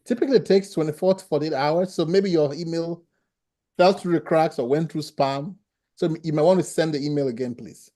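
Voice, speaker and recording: A man's voice: on the high side, brisk, dark tone. A man with a Nigerian accent, a professor explaining things plainly and answering questions in an online class over a video call. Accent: Nigerian, with a slight Ghanaian tinge. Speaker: professor teaching a webinar class.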